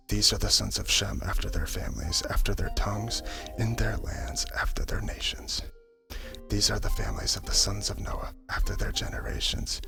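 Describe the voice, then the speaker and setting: Deep whispery man reading scripture. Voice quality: whispery, breathy delivery. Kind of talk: hushed ASMR scripted Bible narration. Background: background music.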